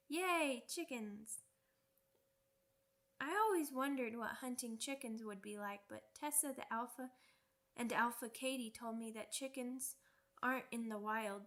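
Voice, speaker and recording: A little werewolf cub girl for an ASMR audio drama. The voice is very high and unhurried, with a childish, pouty, rambling manner that is cheeky and earnest. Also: fairly bright tone. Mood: eager, excited.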